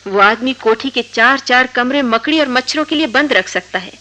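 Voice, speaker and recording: A woman's voice: high-pitched, moderate pace, sharp tone. An actress playing a lovestruck, defiant young college woman from a wealthy home in a theatrical Hindi radio drama. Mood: agitated, indignant. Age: young adult. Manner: impassioned, theatrical delivery.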